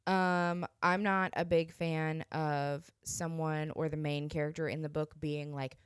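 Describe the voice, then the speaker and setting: A woman with a smooth, clear voice on the lower side chats casually as a podcast co-host. She repeats phrases to stress her point. The sound is dry and free of room echo. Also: level tone, measured pace. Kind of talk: casual chat reviewing films and books.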